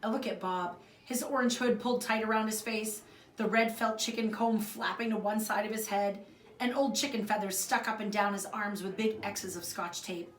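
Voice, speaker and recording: A woman teacher reading a children's story aloud in an expressive storytelling way. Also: mid-range voice, normal speed. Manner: expressive, acts out the dialogue. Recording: roomy echo, distant mic, faint noise.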